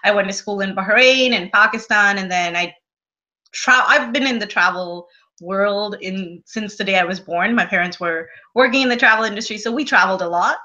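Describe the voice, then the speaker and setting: A woman's voice: mid-range voice, normal speed, sharp tone, highs cut off. A woman from Sri Lanka, a travel blogger, chatting casually as a guest in an interview.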